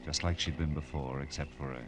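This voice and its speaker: A man's voice: extremely low pitch, steady tone, a little fast. An actor playing a tough, wry private detective in a 1940s radio drama, clipped and sardonic, slinging slang as he talks and narrates. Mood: grim, narrating. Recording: vintage radio broadcast with faint music.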